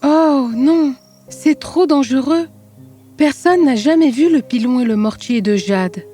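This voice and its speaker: A high, youthful maiden's voice, acted by a woman, sweet and devoted. She speaks like a storybook heroine in love, over background music. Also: a little slow, mellow. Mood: worried. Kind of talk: fairy tale character acting. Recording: music behind the voice.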